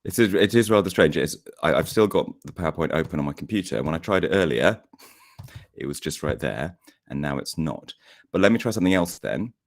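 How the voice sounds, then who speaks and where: A man with a hoarse, croaky voice from a cold, giving an academic seminar talk over a video call, a little hesitant. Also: sits low, animated, a little fast. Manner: hesitant, repeats words, self-deprecating. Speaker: guest lecturer.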